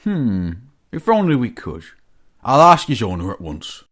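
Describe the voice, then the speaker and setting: Storybook railway boss, male, authoritative yet sympathetic, expressive pitch, acted by a man. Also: a little slow, exaggerated voice.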